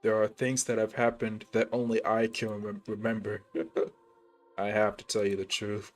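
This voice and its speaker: A man's voice: lowish voice, monotone, moderate pace, faint music behind. A man streaming a video game, making casual, mumbled asides with fillers and slang. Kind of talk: casual gaming commentary.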